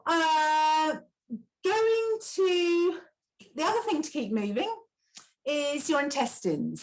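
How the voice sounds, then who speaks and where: A woman's voice: fairly high pitch, poor audio. A middle-aged woman with a chatty, encouraging manner, teaching self-care exercises as she demonstrates them. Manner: chatty, encouraging, many fillers like "you know". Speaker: kinesiology teacher. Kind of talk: instructional talk with demonstrations.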